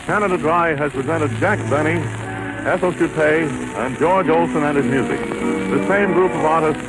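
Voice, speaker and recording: An old radio recording with loud music behind an American male announcer. His voice is fairly high, and he reads a sign-off in the formal, measured style of 1930s radio. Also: low-quality recording.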